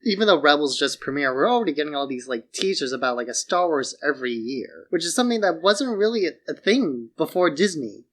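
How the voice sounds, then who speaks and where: A man's voice: a little high, sing-song, brisk, hardly any pauses, fairly bright tone. A man co-hosting a podcast in an informal, chatty way, often dropping "like" into his sentences. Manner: informal, peppers speech with "like". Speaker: podcast co-host. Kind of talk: casual chat.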